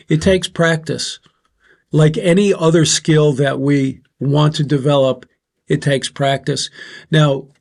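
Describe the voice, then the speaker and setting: Older man, host, loud, instructive, fairly high voice. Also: gravelly. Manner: loud, instructive, counts points off. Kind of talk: discussion facilitator.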